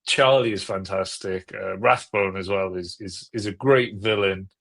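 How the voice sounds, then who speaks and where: Low-voiced enthusiastic man. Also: measured pace, gravelly, fairly bright tone. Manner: repeats and rephrases as he goes, enthusiastic. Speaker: podcast panelist. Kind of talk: casual enthusiastic discussion.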